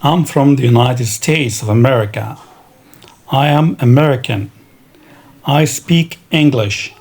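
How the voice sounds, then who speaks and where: A man teaching English vocabulary, reading country and nationality words in a slow, deliberate drill. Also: medium pitch, a little mellow. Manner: slow, deliberate, spells out each word. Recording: clear background noise.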